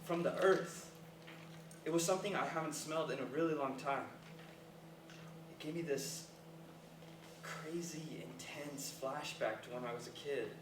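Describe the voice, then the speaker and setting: A man acting a self-conscious, earnest character with halting, hesitant delivery in naturalistic dialogue at a staged play reading. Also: a little high, lightly acted. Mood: wistful, earnest. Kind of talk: naturalistic stage dialogue before a live audience. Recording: echoey, noisy room recorded from far off.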